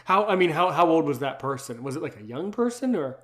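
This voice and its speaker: A man with a slightly high voice hosting a podcast. He speaks casually and emphatically, circling back over words and phrases as he goes. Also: sing-song, brisk, a bit bright. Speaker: podcast host. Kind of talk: casual interview chat.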